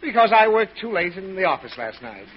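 A man's voice: high-pitched, sing-song, a little fast, a crowd in the background. An actor playing an exasperated, short-tempered, suffering father in old radio comedy, groaning and sputtering. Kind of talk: 1940s radio comedy acting. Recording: muffled, noisy old radio show with audience.

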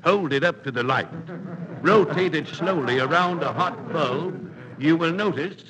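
An actor playing a slick burlesque candy hawker, giving a deliberate showman's sales spiel with suggestive wisecracks in 1940s radio comedy. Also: a little high, crowd noise, lightly acted. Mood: slick, teasing. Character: slick burlesque theater candy pitchman hawking wares. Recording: noisy old radio recording.

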